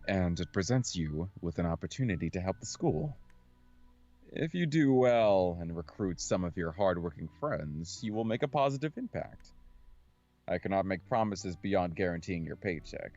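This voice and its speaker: A man voicing a gloomy, stern middle-aged assistant principal in a measured, formal, matter-of-fact manner, in a visual novel game. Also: low-pitched, lively intonation, darkish tone, voice pushed down. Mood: stern, businesslike. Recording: dull audio with music underneath.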